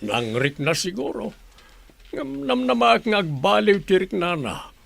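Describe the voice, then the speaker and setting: A man's voice: high-pitched, very animated, unhurried, creaky, fairly bright tone, full character voice. A man with an Ilocano accent playing an older, grateful grandfather in a radio drama, speaking earnestly. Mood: calm. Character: kindly grandfather, grateful and warm-hearted.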